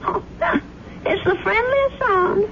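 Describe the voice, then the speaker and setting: A woman's voice: very high-pitched, takes it slowly, a crowd in the background, exaggerated voice. An actress playing a chatty, kindly elderly grandmother who is easily flustered, in a radio drama. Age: older. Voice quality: fluttery, talkative, easily flustered. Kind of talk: 1970s radio drama acting. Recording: dull old radio broadcast with hiss.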